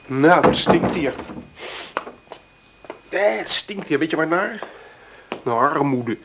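A man's voice: on the high side, animated, takes it slowly, creaky, very noisy, heavily acted. A man with a Netherlands Dutch accent playing a spiteful, bullying ex-husband in a radio drama, sneering and accusing. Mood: sneering, hostile. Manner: contemptuous, accusing.

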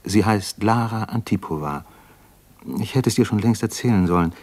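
Actor as deep-voiced, earnest young doctor-poet. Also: male voice, moderate pace, darkish tone, close to an everyday voice. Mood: tender, wistful. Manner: reflective, literary, intense. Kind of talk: 1950s German radio drama acting.

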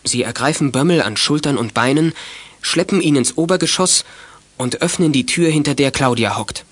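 A man's voice: fairly high pitch, animated, normal speed, no echo. A man narrating a youth radio play in scripted, dramatic storytelling style.

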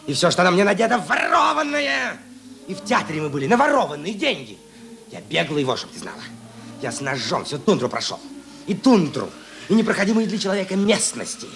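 A man acting a desperate, defiant runaway thief of twenty-nine in a radio play, agitated, bitter and gravelly. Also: high voice, big pitch swings, brisk, noisy background, exaggerated voice.

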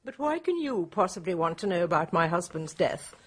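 An actress in a radio drama playing a guarded, self-dramatising British widow with theatrical intensity. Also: female voice, fairly low pitch, big pitch swings, fairly quick, slightly rough. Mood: guarded, indignant.

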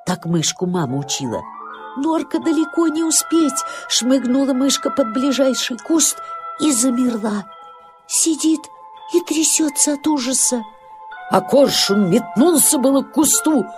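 A woman storyteller narrating a scripted children's nature tale in an expressive, dramatic way. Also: sits high, unhurried. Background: music.

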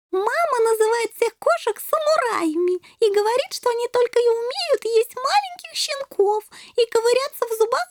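Very high, chirpy puppy princess. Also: female voice, dry recording, clean studio sound. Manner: excitable, chattering, squealing. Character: chirpy, naive, curious little puppy princess. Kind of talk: children's storybook character voice.

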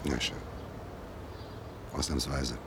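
Very deep-voiced, terse investigator. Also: male voice, flat delivery, slow pace, noisy background. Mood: dry, sceptical. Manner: terse, sceptical questioning. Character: dry, tight-fisted investigator buying information. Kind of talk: radio drama acting.

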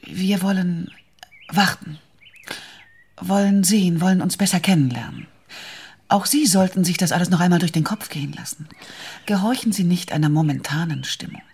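Very husky, composed young widow. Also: female voice, on the low side, a little mellow. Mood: resolute, deliberate. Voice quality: very husky, breathy, often near whisper. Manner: measured, deliberate, formal. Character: composed, independent-minded young widow, grave and proud. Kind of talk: literary radio drama acting.

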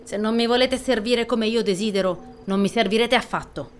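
A woman's voice: a little high, normal speed, slight background noise, lightly acted. A woman playing a resolute, imperious princess in a fantasy storybook, formal and decisive. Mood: cold, commanding. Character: commanding, resolute young warrior princess.

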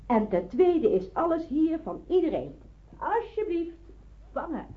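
A woman's voice: sits high, a little slow. A woman acting a sceptical, incredulous wartime woman in hiding in a Dutch radio drama. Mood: incredulous, teasing. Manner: incredulous, hesitant, expressive. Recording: muffled, band-limited old radio recording.

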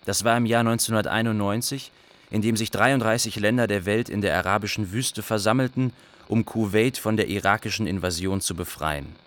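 A man's voice: lowish voice, fairly quick, fairly bright tone, lightly acted. An actor playing a sober male chronicler who narrates a radio drama in a factual manner. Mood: sober, grave.

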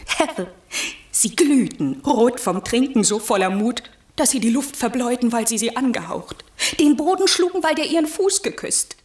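Echoing, high-voiced mischievous spirit, played by a woman. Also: expressive, moderate pace, darkish tone, close to an everyday voice. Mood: gleeful. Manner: gleeful, vivid storytelling. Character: mischievous, eager airy spirit serving a magician. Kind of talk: theatrical German radio play verse.

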